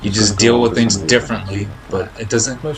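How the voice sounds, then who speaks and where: A man's voice: on the low side, steady tone, normal speed, crowd noise, rough recording. A man chatting casually on a live game stream, speaking in a blunt, admonishing, repetitive way. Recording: noticeable background noise.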